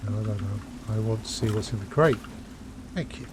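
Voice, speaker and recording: A man's voice: lowish voice, fairly quick. A man with a cold giving casual gameplay commentary as he live streams. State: has a cold. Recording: clear background noise, game sound.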